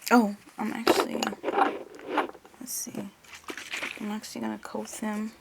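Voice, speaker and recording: Woman, creaky voice, medium pitch, ASMR roleplay at speaking volume, hesitant casual delivery, noisy recording. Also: level tone, unhurried. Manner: casual, hesitant, talking through each step. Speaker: ASMR creator playing an examiner.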